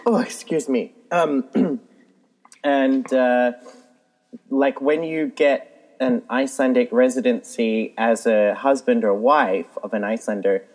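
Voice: mid-range voice, lively intonation, slow pace. A co-host in a casual chat, earnestly explaining with many restarts.

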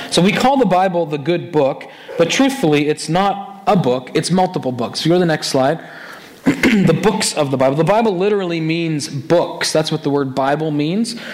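A hoarse man with a raspy voice, a pastor preaching a conversational sermon with many restarts. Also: fairly high pitch, low-quality recording.

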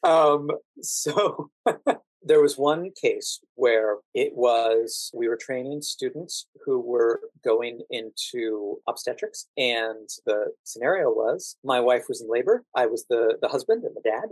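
An American man with a medium-pitched voice, recorded very dry. He talks like a guest on an interview show, unspooling personal stories in a conversational way and stretching out the words he wants to stress. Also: slow, no room sound, low-quality recording. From United States. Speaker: podcast guest, actor working as a standardized patient.

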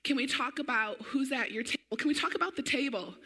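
A woman pastor preaching a sermon in an energetic, conversational way, her voice a little weak and often clearing her throat. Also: high-pitched, fast talker.

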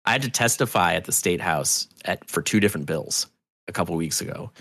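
A middle-aged man from New England giving a casual interview as a podcast guest, halting and thoughtful, often correcting himself. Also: low-pitched, creaky, fairly bright tone, slightly rough, dry recording. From New England, United States.

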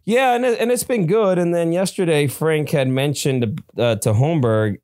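A man's voice: a little high, moderate pace, darkish tone, dry recording. A man whose first language is Spanish, chatting casually as a podcast co-host and rambling with many restarts and fillers. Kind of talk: casual chat.

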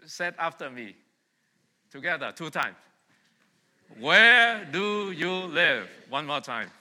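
A middle-aged man from Vietnam gives a sermon in a church hall, and his voice rings out through a long, echoing reverb. His tone is very bright and a little high for a man. He keeps it conversational, putting searching questions to the congregation. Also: big pitch swings, slow pace. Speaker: pastor. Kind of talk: sermon with congregation call-and-response.

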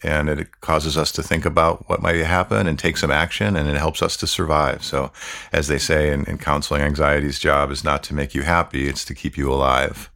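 A man hosting a podcast, talking in a calm, measured way with many fillers. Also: a bass voice, creaky. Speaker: podcast host. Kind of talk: reflective podcast chat.

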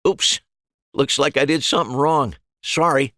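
A man's voice: mid-range voice, measured pace, a bit bright, no room sound, studio quality, voice pushed up, close to an everyday voice. A man voicing a cheerful, reassuring magician with upbeat patter in an audio story. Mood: showy patter. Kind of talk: acted dialogue in an audio short story.

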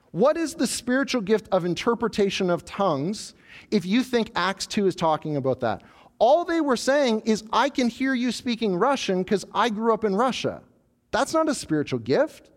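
A man preaching a sermon as pastor, animated and lively, acting out the proud voices of people in the story he tells. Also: high voice. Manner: animated preaching, voicing the proud tower builders.

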